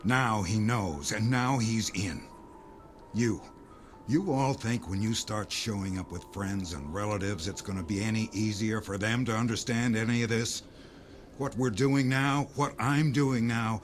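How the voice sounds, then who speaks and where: An actor playing an earnest, secretive doomsday believer who speaks gravely and insistently in a dramatic audio play. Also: male voice, lowish voice, a little slow, faint music behind, lightly acted.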